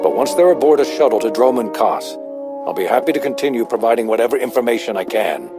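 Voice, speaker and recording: A man's voice: medium pitch, expressive, fast talker, over loud music, close to an everyday voice. An actor playing an earnest retired spy and family father in a video game, anxious and hesitant. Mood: apologetic, grateful. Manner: earnest, hesitant, repeats himself. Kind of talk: scripted video game dialogue.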